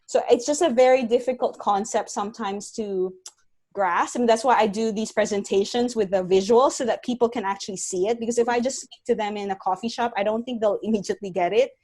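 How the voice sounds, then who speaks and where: A woman's voice: a little high, a little fast, a little mellow. A woman, an environmental scientist, giving an earnest, conversational webinar talk over a video call. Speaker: guest speaker, environmental scientist.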